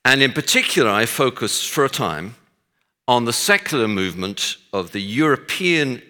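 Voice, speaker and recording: A man's voice: mid-range voice, sharp tone, clean tone. A middle-aged man with a Texan accent, giving a speech to an audience as a guest speaker, with dry self-deprecating humor and frequent hesitations. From Texas. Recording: long hall reverb.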